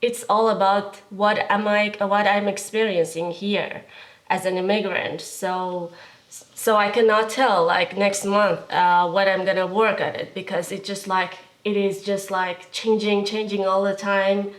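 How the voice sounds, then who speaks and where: A woman's voice: medium pitch, steady tone, measured pace, poor audio. A young woman from the Kurdistan region of Iran, speaking as a visual artist being interviewed in an art studio, hesitant and searching for words with many fillers. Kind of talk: casual interview.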